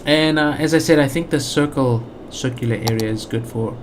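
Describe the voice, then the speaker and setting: A man's voice: mid-range voice, normal speed, slight background noise. A man with a South African accent giving casual let's play commentary, thinking aloud with lots of fillers and restarts. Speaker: gaming channel host.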